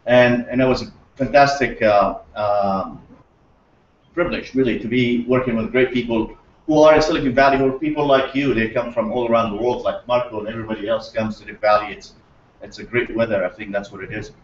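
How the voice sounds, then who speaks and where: A man's voice: medium pitch, steady tone, a little fast, a little hiss or hum. A middle-aged man from Syria giving an enthusiastic conference talk with many fillers and restarts, recorded from a distant camera in a large lecture room. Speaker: guest speaker. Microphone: far camera. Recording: roomy echo, distant, dull lo-fi.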